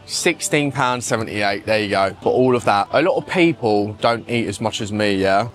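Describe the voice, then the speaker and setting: Chatty man vlogging. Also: fairly low pitch. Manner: chatty, blunt, swears casually. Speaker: fitness vlogger. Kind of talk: casual vlog chat.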